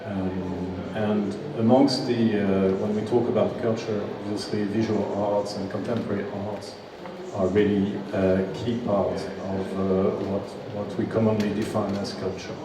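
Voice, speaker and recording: A man answering at a press conference as an executive, halting and full of fillers. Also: lowish voice, little pitch movement. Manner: halting, many fillers and restarts. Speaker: nonprofit executive. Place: large historic hall. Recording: echoey hall, distant mic, background noise.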